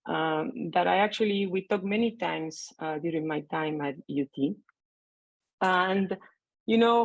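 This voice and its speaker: Middle-aged woman, lowish voice, dry sound, chatty and halting, joining a panel by video call. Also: no room sound, rough recording. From Argentina. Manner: conversational, hesitant with many fillers. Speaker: panelist, mathematician. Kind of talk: remote panel remarks.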